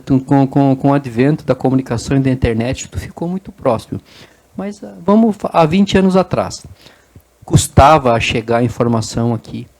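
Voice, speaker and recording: A middle-aged man from southern Brazil, answering as a guest in an interview. His voice is dark and mellow at a middle pitch. He explains patiently, repeats words as he searches, and keeps checking in with a quick "né?". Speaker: interview guest, business association president. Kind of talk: conversational interview.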